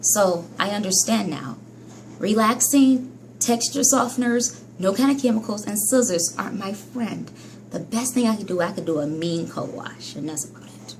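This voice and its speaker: A woman talks straight to her viewers in a loose, chatty hair-care vlog, often scolding herself. Her voice sits at an everyday middle pitch but keeps jumping high and dropping low. A faint hiss of noise sits under the recording. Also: lively intonation, normal speed, low-quality recording. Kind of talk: casual vlog monologue to viewers.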